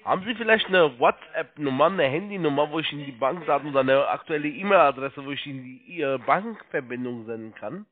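High-pitched man on a tinny phone line. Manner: halting, repeats himself, spells things out slowly. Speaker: call-centre agent, phone scammer. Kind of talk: step-by-step phone sales instructions. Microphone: telephone.

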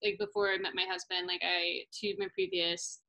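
A woman's voice: lowish voice, flat delivery, brisk, sharp tone, rough recording. Young woman interviewing over a webcam. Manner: friendly, rambling questions with many fillers. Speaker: interviewer for language school. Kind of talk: casual interview over video call. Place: small room at home. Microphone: laptop webcam on video call.

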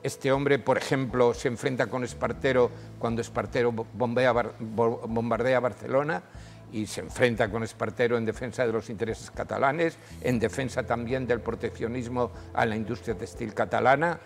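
Hombre mayor de voz rasposa, narrador de historia. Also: male voice, normal speed, in a large hall, soft background music. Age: older. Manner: animated storytelling, rises in pitch when emphasizing. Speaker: historian guest. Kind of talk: studio history discussion. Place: TV studio. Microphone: lapel.

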